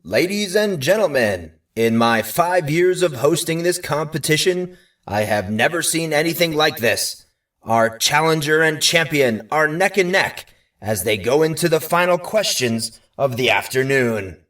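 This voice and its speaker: A man voicing a smooth, silky-voiced male competition host, announcing in a raised voice. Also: fairly high pitch, animated, measured pace, fairly bright tone, distant mic, pitched above the performer's own voice.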